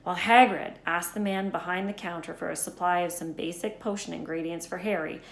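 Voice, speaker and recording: A woman's voice: on the low side, fairly even intonation, fairly quick, vocal fry. A woman narrating a children's book aloud as a storyteller. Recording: echoey room.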